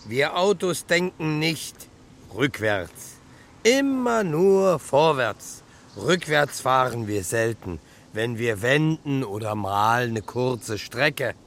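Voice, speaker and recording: An actor playing a battered, plain-spoken talking car in a children's radio play, emphatic and proud of being made of metal. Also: male voice, mid-range voice, very animated, slow pace, fairly bright tone, exaggerated voice. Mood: matter-of-fact pride.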